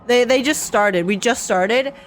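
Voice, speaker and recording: A woman streamer giving casual live reactions to a game in an excitable, playful, exclamatory way. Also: fairly high pitch, unhurried, a little hiss or hum. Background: faint game music.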